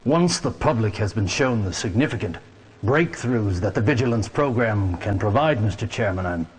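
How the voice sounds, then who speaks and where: A man's voice: lowish voice, animated, normal speed, breathy edge. An actor playing a nervous, deferential male scientist, hesitant and formal, in a video game cutscene.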